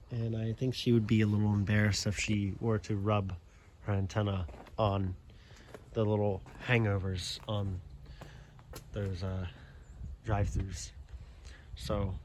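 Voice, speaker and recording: Slow-talking American man, casual walkthrough. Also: on the low side, a little mellow. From South Jersey, United States. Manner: plainspoken, matter-of-fact, hesitant pauses. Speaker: hobbyist showing a car CB radio installation. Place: in and around a car. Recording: clearly noisy handheld recording with clicks.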